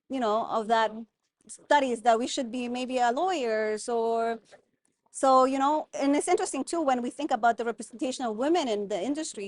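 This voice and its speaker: Earnest woman, slightly high voice. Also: no echo. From Guatemala. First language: German. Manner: thoughtful, earnest, many fillers and restarts. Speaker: guest, mining consultant. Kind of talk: conversational interview.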